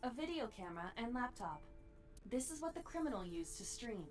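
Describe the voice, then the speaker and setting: An actress playing a brisk, matter-of-fact female AI partner in a video game. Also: on the high side, very animated. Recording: distant game audio over music.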